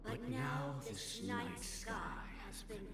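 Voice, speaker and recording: A woman playing an enigmatic, solemn storyteller in a video game, speaking in a measured, dramatic way, roomy and distant. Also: extremely low pitch, big pitch swings, heavily acted. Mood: solemn, eerie. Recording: roomy, distant, loud music.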